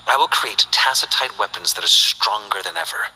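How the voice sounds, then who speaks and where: An actor playing a stern, principled scientist and inventor in a video game, speaking formally and precisely in a breathy voice. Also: male voice, mid-range voice, big pitch swings, sharp tone, exaggerated voice, poor audio. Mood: resolute. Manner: formal, precise, measured diction. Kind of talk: scripted video game character voice lines.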